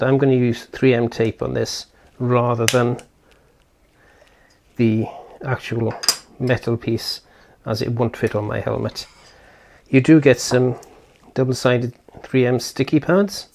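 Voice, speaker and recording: A man's voice: mid-range voice, fairly even intonation, a little slow, vocal fry, darkish tone. A man giving a casual, unscripted product unboxing and setup walkthrough, thinking aloud as he goes. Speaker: video host.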